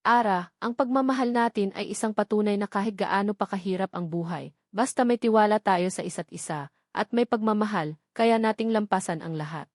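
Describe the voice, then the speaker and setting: A woman's voice: mid-range voice, brisk, a little mellow, dry recording, studio quality, lightly acted. A Filipino woman voicing a devoted, earnest young father in a narrated love story. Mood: loving.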